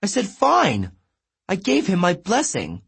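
A man's voice: sits high, huge pitch swings, unhurried, creaky, fairly bright tone, husky, voice pushed up, full character voice. A man voicing a vain, melodramatic male sun god who wails and pities himself. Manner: wailing, theatrical and self-pitying.

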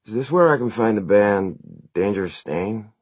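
A man voicing an easygoing young singer in an audiobook, with slow, slightly drawling surfer diction. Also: fairly low pitch, animated, husky, dry recording, voice pushed up. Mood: polite, casual.

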